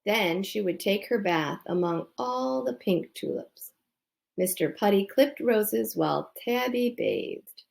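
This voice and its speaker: A woman's voice: medium pitch, animated, slow pace, gravelly, a little mellow. A woman reading a children's bedtime story aloud. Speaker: storybook reader.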